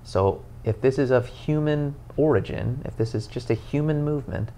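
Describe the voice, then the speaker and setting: A man in his thirties, a pastor, talking through a passage on a couch in an earnest, animated way, with lots of "you know". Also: medium pitch, normal speed, slight background noise. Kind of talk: casual podcast conversation. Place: living room, on a couch, indoors.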